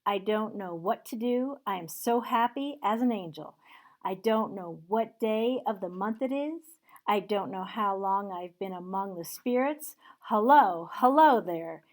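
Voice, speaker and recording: A woman reading aloud and voicing old Scrooge, a reformed miser who exclaims loudly and pleads. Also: medium pitch, unhurried, lightly acted. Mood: overjoyed.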